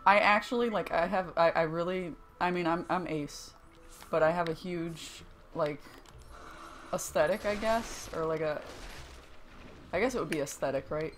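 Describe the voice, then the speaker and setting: A young woman with a low-pitched voice chatting casually while streaming a game, rambling with many restarts. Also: moderate pace, long pauses, faint music behind. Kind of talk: casual gaming chat.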